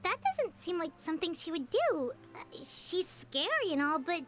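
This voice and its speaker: An actress voicing a small, chatty fairy companion in a game, talking in an earnest way. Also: female voice, very high-pitched, sing-song, normal speed, over music. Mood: puzzled. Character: small chatty fairy companion, speaks of herself in third person. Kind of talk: game cutscene voice acting.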